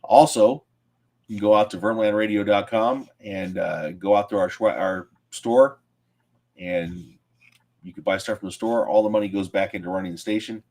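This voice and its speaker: A man's voice: lowish voice, fairly even intonation, moderate pace, darkish tone. A man co-hosting a podcast in casual chat, plain-spoken and argumentative. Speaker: podcast co-host.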